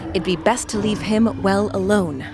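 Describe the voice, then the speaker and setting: A woman's voice: mid-range voice, moderate pace, over music, close to an everyday voice. A woman playing a curious, self-doubting young visitor to a fantasy city, wordy and earnest, in an audio drama. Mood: keen, opinionated.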